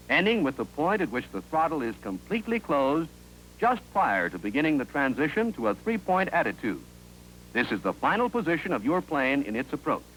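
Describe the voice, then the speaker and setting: An American man reading scripted narration for a 1950s instructional film in a clear, authoritative, instructive manner, on an old film soundtrack. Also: fairly high pitch, a bit bright, slight background noise, rough recording.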